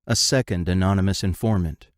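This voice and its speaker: A man's voice: deep voice, fairly quick, a little hiss or hum. A man narrating scene headings for an audio drama in a scripted style.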